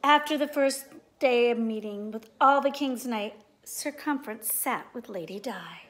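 A woman's voice: fairly high pitch, measured pace. A middle-aged woman reading a storybook aloud for children in a living room. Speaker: storybook reader. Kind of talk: children's read-aloud narration. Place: living room at home, indoors.

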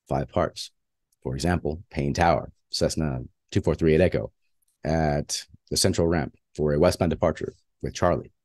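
A man's voice: very deep voice, normal speed, a little mellow, dry recording. A man who is a flight instructor, giving a plainspoken slide lecture on flying with frequent filler uhs.

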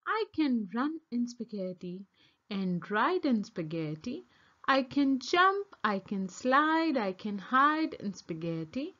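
A woman voicing a stubborn, excitable female monkey from a children's storybook, with emphatic, sing-song repetition. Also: fairly high pitch, slow, dull audio, exaggerated voice. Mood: gleeful, boastful. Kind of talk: children's storybook read-aloud.

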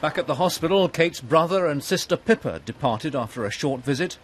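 Man, news reporter. Fairly high voice, scripted voice-over, faint noise underneath. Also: lively intonation, fairly quick.

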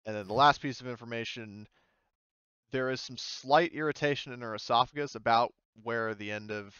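A man acts as game master in a casual, hesitant way, feeling for the right words. His voice is smooth and sits at a middle pitch. The recording is dry and a little muffled. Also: normal speed, fairly bright tone, no room sound. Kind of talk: casual tabletop roleplaying narration and chat. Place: voice chat in an online game session.

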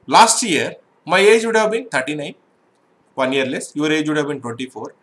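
A man's voice: fairly high pitch, sing-song, moderate pace, fairly bright tone. A middle-aged man teaching an exam-prep math lesson online, explaining step by step and often checking "right?" with his students.

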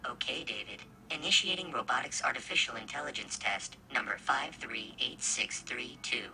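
A man's voice: medium pitch, normal speed, creaky, bright, forceful tone, poor audio. A man playing a polite, literal computer assistant in a video game, formal, precise and emotionless. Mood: formal, neutral. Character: polite, literal artificial intelligence test assistant.

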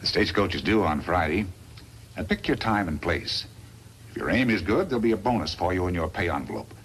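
Smug, scheming radio western villain. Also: male voice, low-pitched, animated, fairly quick. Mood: sly, plotting. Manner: smug, insinuating. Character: scheming crooked lawyer boss, smug and calculating villain. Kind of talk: 1950s radio western acting. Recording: noisy vintage 1950s radio recording.

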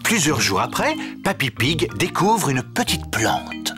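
A woman's voice: low-pitched, sing-song, a little slow. A woman narrating a children's cartoon as a scripted storyteller. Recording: music under the voice.

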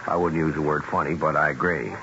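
An actor playing a skeptical, terse police sergeant in a 1970s radio drama, clipped and matter-of-fact. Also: male voice, a bass voice, steady tone, fast. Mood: clipped, factual. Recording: dull, noisy old radio broadcast.

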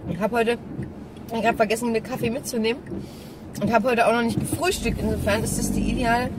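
Higher-voiced woman over loud car noise. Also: fairly even intonation, quick pace. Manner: rambling, chatty, thinking aloud. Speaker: vlogger. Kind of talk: casual chat to camera while driving. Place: inside a small car. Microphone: dashboard camera at arm's length. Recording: distant mic, loud car driving noise.

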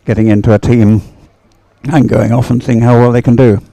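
A man giving chatty live sports commentary in a halting, unhurried way, as if thinking aloud. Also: medium pitch, level tone, gravelly, warm tone, no room sound, rough recording.

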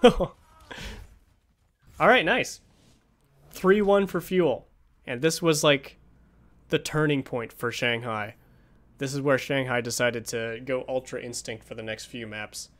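A man's voice: mid-range voice, takes it slowly, long pauses, a bit bright. Young man casually breaking down a match. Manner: analytical, thinking aloud with many fillers. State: voice getting tired after hours of streaming. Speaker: esports coach reviewing a match. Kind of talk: casual match review commentary.

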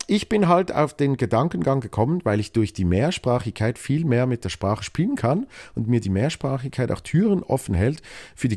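A young man with a Basel Swiss German accent hosts his own solo podcast, his voice sitting deep and low. He wanders through his thoughts with plenty of fillers, false starts and repeated words. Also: warm tone. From Switzerland. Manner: rambling, many fillers and restarts. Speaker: podcast host. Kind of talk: solo podcast, reading listener mail.

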